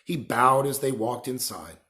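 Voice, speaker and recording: A man reading a children's novel aloud as an expressive storyteller. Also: medium pitch, a little slow. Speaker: reader, storyteller.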